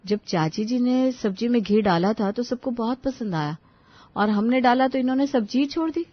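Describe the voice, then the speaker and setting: A woman's voice: on the high side, animated, moderate pace, dry recording, dull audio. A woman voicing a scheming young elder daughter-in-law in a cartoon family story. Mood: plotting.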